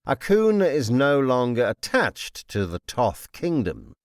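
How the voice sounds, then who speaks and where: A man playing a composed, imperious necromancer lord in an audiobook, speaking formally and with measured, polite menace. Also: mid-range voice, wildly swooping pitch, no echo, clean studio sound, voice pushed up, full character voice. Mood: calm, commanding. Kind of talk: audiobook character acting.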